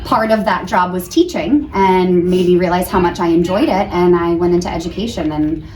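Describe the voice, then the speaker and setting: A woman's voice: on the low side, normal speed, darkish tone. Echoey, chatty American woman teacher. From New Hampshire, United States. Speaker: elementary school teacher being interviewed. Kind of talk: casual interview answers. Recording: roomy, distant mic, faint background noise.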